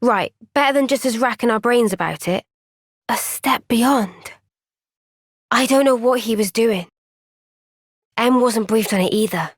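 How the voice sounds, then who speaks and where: A woman playing an earnest, gentle young soldier heroine in a fantasy video game, soft-spoken, sincere and thoughtful. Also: a little high, unhurried, long pauses, no echo, clean studio sound. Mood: calm, thoughtful. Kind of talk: video game cutscene voice acting.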